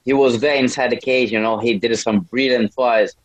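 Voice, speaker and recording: A young man with a Brazilian accent speaks casually as an interview guest. His English is non-native and halting, with words often repeated as he builds a thought. The sound is close and dry, and his pitch sits in the middle. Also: steady tone, dry recording, poor audio. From Brazil, small town in the north. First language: Portuguese. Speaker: MMA fighter, podcast guest.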